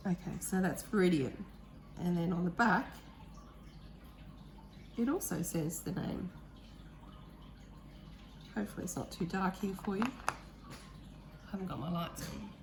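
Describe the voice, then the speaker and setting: A woman with a croaky voice, chatting casually and eagerly about art supplies as she unboxes them, with the odd um. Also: lowish voice, unhurried, pauses often, slight background noise. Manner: chatty, enthusiastic, thinking aloud with ums. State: croaky voice, clearing throat. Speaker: art vlogger.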